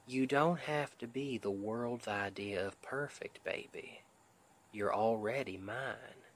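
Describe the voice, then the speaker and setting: A man playing a tender, reassuring Southern husband in an intimate ASMR roleplay, with a Southern US accent and a soothing, gentle, often half-whispered delivery. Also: medium pitch, slow, slight background noise. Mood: earnest, loving. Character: tender, reassuring Southern husband comforting his stressed wife.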